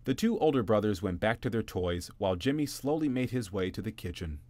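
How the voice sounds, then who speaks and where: A man with a lowish voice reads a bedtime story in a gentle, expressive way, his pitch rising and falling with the tale. The sound is close and dry, with no room around it. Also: brisk, no echo. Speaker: narrator.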